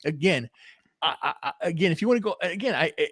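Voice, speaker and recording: Passionate halting man in his fifties. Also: fairly high pitch, expressive, fairly bright tone, slightly rough, no room sound, clean studio sound. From Texas, USA. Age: 50s. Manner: passionate, halting with many restarts. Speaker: podcast host. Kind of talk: casual opinionated conversation.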